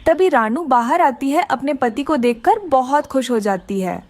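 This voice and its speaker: A woman's voice: high voice, lively intonation, a little slow, darkish tone, no room sound. A woman narrating a scripted children's story.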